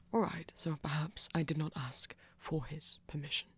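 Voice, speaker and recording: Performer acting a breathy, flirty vampire fledgling, low and slow. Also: fairly even intonation, a bit bright, whispered. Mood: teasing, coy. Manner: formal, careful phrasing, teasing. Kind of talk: breathy ASMR roleplay acting.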